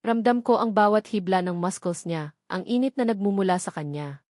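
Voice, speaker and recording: Female romance narrator, Filipino accent, mid-pitched, emotive dramatic storytelling, dry recording. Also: fairly quick, a little mellow, dry recording.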